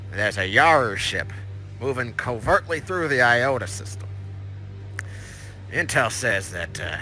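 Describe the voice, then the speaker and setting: A man's voice: lowish voice, bright, forceful tone, slight background noise, heavily acted. A man voicing a stammering crab alien broker with a Southern twang. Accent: Southern US, as the figure. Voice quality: stammering, talks in tangled restarts. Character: rambling male crab-like alien information broker.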